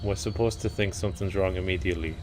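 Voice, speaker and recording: A man with a croaky voice casually commentating gameplay, rambling and thinking aloud. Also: low voice, fairly even intonation, fast, darkish tone, slight background noise. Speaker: let's play commentator.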